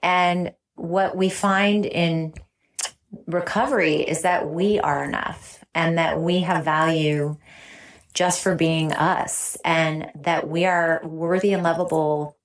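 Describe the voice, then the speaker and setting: A woman's voice: low-pitched, steady tone, slow. A woman speaking as an interview guest, sharing in a conversational, earnest and effusive way. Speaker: interview guest, recovery program veteran.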